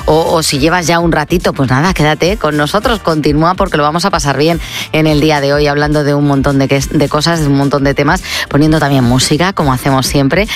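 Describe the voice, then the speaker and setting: A woman's voice: deep voice, fairly even intonation, fast talker, soft background music. A woman with a Spanish accent from Spain, hosting a late-night radio show in a casual, conversational style, speaking straight to listeners. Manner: conversational, addresses listeners directly. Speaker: radio host.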